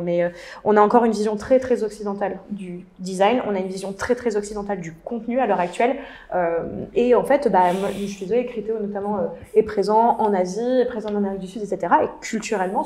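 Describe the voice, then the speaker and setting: Woman panelist, warm mellow tone, halting but opinionated delivery. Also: mid-range voice. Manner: hesitant, many fillers and restarts, opinionated. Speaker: content designer, panelist. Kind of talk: casual panel discussion.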